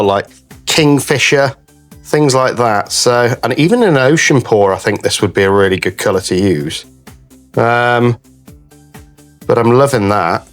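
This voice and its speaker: A man with a slightly croaky, cold-affected voice, chatting casually to viewers as he hosts a craft tutorial. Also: mid-range voice. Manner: chatty, addresses viewers as guys. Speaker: craft channel host.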